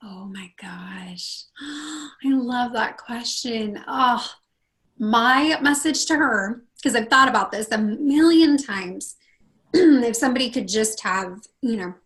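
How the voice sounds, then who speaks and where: This is a woman with a husky, slightly hoarse voice, pitched a little on the high side. She talks casually as a podcast guest and brings quoted conversations to life with sudden leaps upward in pitch. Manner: expressive, acts out quoted dialogue with big pitch jumps. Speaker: podcast guest, confidence consultant.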